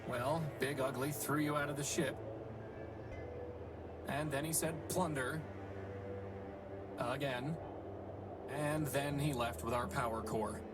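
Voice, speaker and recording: A man's voice: medium pitch, fairly even intonation, slow pace, pauses often, far from the mic, loud music behind the voice, close to an everyday voice. A man voicing a small, chatty robot sidekick in a video game, flippant and sarcastic in his delivery. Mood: relieved, wry.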